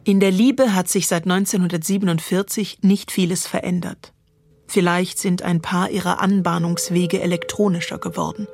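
A woman reading a literary text as scripted narration, in a measured, poetic manner. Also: fairly low pitch, fairly even intonation, gravelly, darkish tone.

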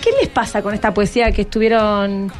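A woman's voice: mid-range voice, little pitch movement, brisk, faint music behind. A woman with an Argentine accent hosting a casual radio interview, prompting with short informal questions. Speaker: radio host interviewing students.

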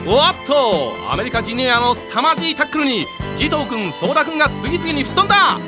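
Cartoon match announcer: very high, animated, dramatic play-by-play, music loud underneath. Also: male voice, a little fast. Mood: excited. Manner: dramatic, emphatic delivery. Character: excitable male soccer stadium announcer in a cartoon. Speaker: sports play-by-play commentator. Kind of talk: excited live soccer match commentary.

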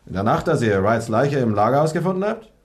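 A man's voice: medium pitch, big pitch swings, fast, lightly acted. An actor playing a menacing, sardonic male gangster in a 1960s radio crime drama. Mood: interrogating. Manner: mocking, bullying, dry sarcasm.

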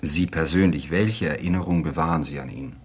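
A man's voice: extremely low pitch, brisk. An actor in a radio drama playing a persistent male interviewer, formal, polite and probing. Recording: clear background noise.

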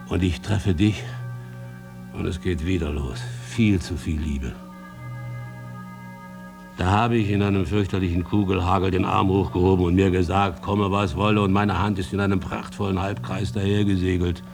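Grim war veteran, low gravelly voice, brooding, emphatic, theatrical radio play monologue. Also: male voice, fairly even intonation, moderate pace, over music. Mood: proud, bitter. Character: maimed soldier, ex-prisoner, grimly mourning his lost hand.

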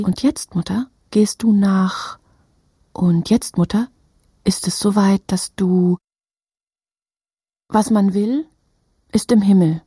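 A woman narrating a literary audio drama expressively, often repeating phrases and turning breathy at moments. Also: medium pitch, mellow, no room sound, clean studio sound.

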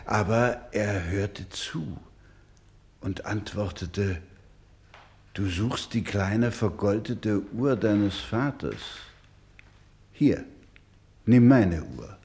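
Solemn, proud male storyteller for radio drama; low-pitched, very slow, grave and emphatic. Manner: grave, deliberate, emphatic, dropping to hushed tones. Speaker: actor in a radio play.

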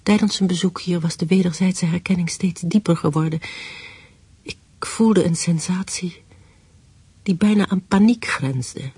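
An actress playing a recently widowed, grieving woman in a radio play, husky and breathy, with a subdued, intimate manner. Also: on the low side, unhurried, warm tone, no echo, full character voice. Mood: sorrowful, intimate. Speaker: actress and first-person narrator. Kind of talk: radio play acting and narration.

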